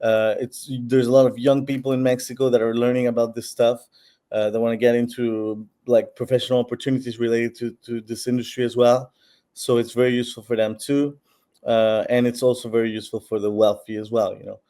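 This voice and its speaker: A man's voice: medium pitch, flat delivery, brisk, dark tone. A man from Peru, a company founder answering interview questions in an explanatory way with many fillers and restarts. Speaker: founder of a bitcoin platform.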